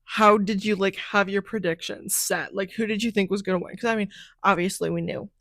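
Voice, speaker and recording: A hoarse young woman hosting a podcast, chatting casually and rambling with lots of fillers. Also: mid-range voice, fairly even intonation, fairly quick, a bit bright, no room sound. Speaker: podcast host. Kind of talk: casual chat.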